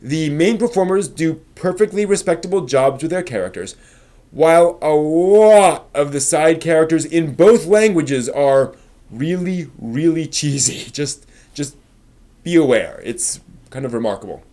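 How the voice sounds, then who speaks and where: A man with a slightly high voice presents a scripted review as the host of a live stream. His phrasing is measured and emphatic, stressing key words, and he slips into casual, conversational asides. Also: expressive, warm tone.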